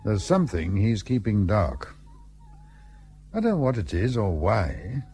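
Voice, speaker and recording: A blustery colonial gentleman, very animated. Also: lowish voice, unhurried, a little hiss or hum. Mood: puzzled, suspicious. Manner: hearty, pompous, old-fashioned clipped phrasing. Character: blustery, sociable British colonial husband and host. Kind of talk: period radio play acting.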